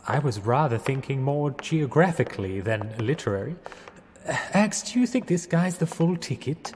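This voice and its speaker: A man's voice: mid-range voice, animated, moderate pace, a little mellow, a little hiss or hum, natural voice. An actor playing a genial, dim young upper-class Englishman in 1920s radio theatre, hesitant, bumbling and mild-mannered. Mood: mild, bemused. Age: young adult. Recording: long echoing reverb, music underneath.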